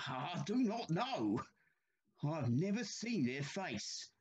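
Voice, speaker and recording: A man playing a troubled railway signalman in an audio drama, haunted and deferential, speaking haltingly. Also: on the high side, sing-song, slightly rough, exaggerated voice. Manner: slow, halting, deferential, uneasy.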